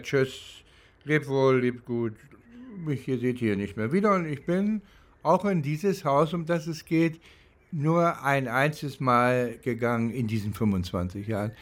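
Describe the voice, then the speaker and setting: An older man tells personal memories at a very unhurried pace. His delivery is halting and thoughtful, as in relaxed conversation, with a middle-pitched voice. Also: no echo. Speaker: participant in a documentary radio play. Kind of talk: spontaneous personal reminiscence.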